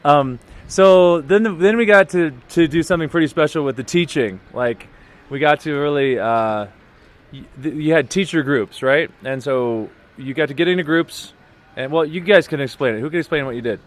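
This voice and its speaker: A man's voice: fairly high pitch, normal speed. A man hosting a casual on-location interview outdoors, speaking as an informal, encouraging teacher. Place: outdoors in a city.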